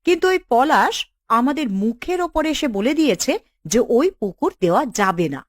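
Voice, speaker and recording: Woman as cartoon female official, scheming, bossy, animated intonation, dry sound. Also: sits high, normal speed, no room sound. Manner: commanding, conspiratorial. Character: scheming, bossy government collector woman, a villain. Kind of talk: cartoon dialogue.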